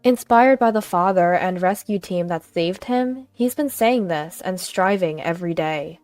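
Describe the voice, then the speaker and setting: A woman's voice: fairly low pitch, unhurried, darkish tone, no room sound. A woman narrating a scripted first-person family story. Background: background music.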